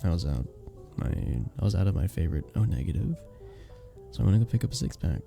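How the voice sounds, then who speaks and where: A very dark, mellow voice with a gravelly creak and lively rises and dips. It is a charming, sweet vampire bartender, acted out by a man, soft-spoken and courteous in the formal way of an audio roleplay. He sounds modest and gentle throughout. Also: sits low, fast, soft background music, heavily acted. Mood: modest, amiable. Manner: gentle, polite, slightly formal, bashful chuckles.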